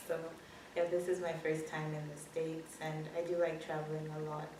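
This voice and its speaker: A young woman from Ghana with a notably low voice talks easily about herself as a student guest, laughing along. She is recorded from a distance in a very echoey room, with clear noise behind her. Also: flat delivery, moderate pace. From Ghana, raised in Zimbabwe. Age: young adult. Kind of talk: casual interview answers.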